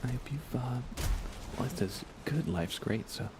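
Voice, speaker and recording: A man speaking softly and gently in an unhurried ASMR style while chatting on a live gaming stream, his voice hushed and at times close to a whisper. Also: mid-range voice, expressive, background noise. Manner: gentle, unhurried, thinking aloud. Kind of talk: soft-spoken ASMR gaming stream chat.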